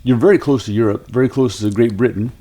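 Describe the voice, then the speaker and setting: A man's voice: mid-range voice, quick pace, dark tone. Casting: male podcast host, mid-range voice, casual conversational interviewing style.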